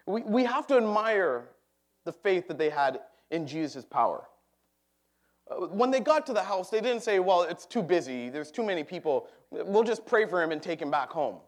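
A man preaching a sermon in a church, animated and joking with the congregation. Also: high voice, moderate pace. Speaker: pastor. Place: church sanctuary.

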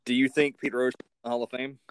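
A man's voice: mid-range voice, level tone, quick pace, smooth, no echo, low-quality recording. Male interviewer in dry, close sound. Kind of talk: casual sports interview.

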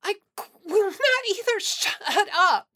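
Woman as a piercingly high, defiant little girl. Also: lively intonation, slow pace, heavily acted. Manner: yelling, defiant, earnest. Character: fierce, stubborn, outraged little girl. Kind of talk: audio fiction acting.

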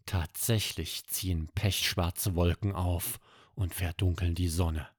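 A man narrating a scripted bedtime story for children as an expressive podcast storyteller. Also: deep voice, takes it slowly, husky, no echo, studio quality.

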